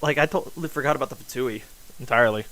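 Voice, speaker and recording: A man's voice: mid-range voice, very fast, bright, forceful tone, poor audio. A man doing casual live game commentary as a streamer, rambling and thinking aloud.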